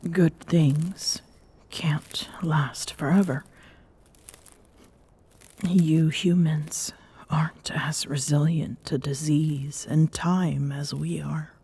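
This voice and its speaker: Woman playing a low, unhurried, tender Amazonian queen. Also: fairly even intonation, exaggerated voice. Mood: wistful, tender. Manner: slow, hushed and breathy with soft sighs and pauses. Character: smitten Amazonian queen, tender and wistful, flustered by affection. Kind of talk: intimate ASMR roleplay addressed to the listener.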